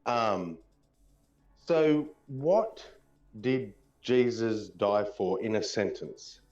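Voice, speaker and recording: A man's voice: fairly low pitch, animated, extremely slow, darkish tone. A man questioning another speaker in a casual debate, probing and challenging. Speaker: debate panelist. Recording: dull, roomy audio, faint music.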